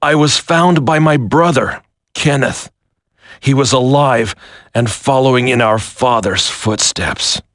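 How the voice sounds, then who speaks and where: Embittered soldier haunted by guilt, slow solemn confession, game drama, dry close sound. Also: male voice, medium pitch, fairly bright tone, no room sound. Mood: grim, bitter. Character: guilt-ridden embittered male soldier turned terrorist confessing. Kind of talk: dramatic video game voice acting.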